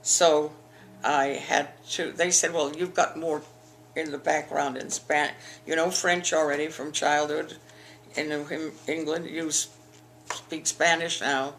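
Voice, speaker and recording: A woman's voice: low voice, little pitch movement, unhurried, vocal fry, a bit bright, a little hiss or hum. An older woman from the eastern United States, telling her school memories in an oral history interview, rambling with frequent restarts. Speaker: interviewee, alumna.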